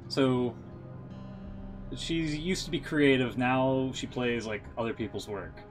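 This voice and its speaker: A man hosting a live stream, chatting casually in rambling, halting asides. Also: medium pitch, soft background music.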